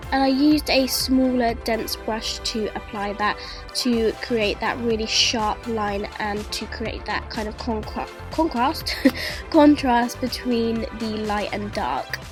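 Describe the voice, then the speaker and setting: Congested young woman narrating a makeup tutorial. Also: on the high side. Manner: chatty, friendly, step-by-step explaining. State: congested, says she is sick. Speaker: beauty vlogger. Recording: voice-over with clear music behind.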